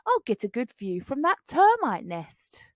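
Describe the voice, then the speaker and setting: Woman acting cheeky, shouty high-pitched little boy dinosaur. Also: wildly swooping pitch, a little slow, no room sound, clean studio sound, exaggerated voice. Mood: boastful. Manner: shouty, teasing, boastful. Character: cheeky young boy dinosaur crying false alarms.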